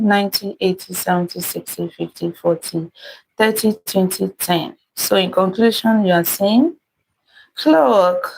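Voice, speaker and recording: A woman with a Nigerian accent speaks slowly at a medium pitch. She hosts a live lesson in an unhurried, conversational way, reading out viewer comments and thanking people as they join. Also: vocal fry, darkish tone, poor audio. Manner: unhurried, repeats greetings and thanks to viewers by name. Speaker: live-stream host teaching math puzzles.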